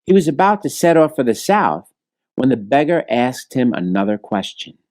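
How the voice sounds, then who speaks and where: Male storyteller, children's narration, medium pitch, very expressive intonation, dry recording. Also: normal speed, no room sound.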